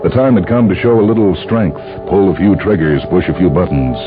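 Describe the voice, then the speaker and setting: A man's voice: sits low, brisk, narrow, tinny audio. A man reading a science fiction story as dramatic scripted narration. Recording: band-limited radio-like sound with music behind. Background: music.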